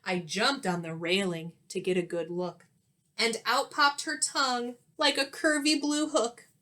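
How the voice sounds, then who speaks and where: A woman reading a children's storybook aloud like a teacher, expressive and sing-song. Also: on the high side. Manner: expressive, sing-song, pausing to ask listeners questions.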